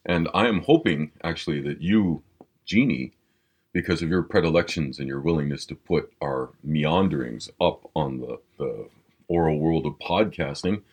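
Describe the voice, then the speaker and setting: Male co-host, extremely deep and husky, deliberate, articulate conversational delivery. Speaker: podcast co-host. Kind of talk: casual discussion.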